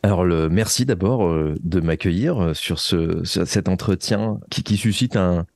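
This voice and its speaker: A man giving reflective interview answers, speaking thoughtfully and articulately with searching pauses. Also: deep voice, a little mellow, no room sound. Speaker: interview guest, fiction writer and musician.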